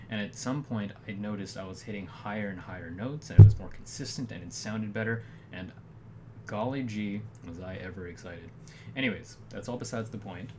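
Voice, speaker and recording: A man with a fairly low voice tells a meandering story straight to his viewers, correcting himself often and adding dry jokes. A steady background noise sits under the recording. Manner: rambling, self-correcting, wry deadpan humor. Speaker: vlogger. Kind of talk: casual storytelling monologue.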